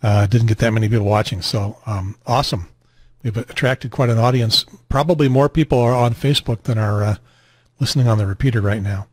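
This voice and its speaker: An American man in his sixties hosting a radio net in casual chat, rambling and halting, on a close mic in a small home room. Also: on the low side, slightly rough, no room sound. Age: 60s. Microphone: close boom studio mic, headset on.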